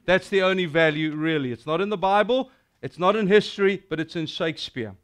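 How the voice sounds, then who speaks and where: A middle-aged man with a South African accent preaching a sermon, animated and declamatory as he tells a battle story and recites verse. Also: high-pitched, brisk, clean tone. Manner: animated and declamatory, gesturing widely.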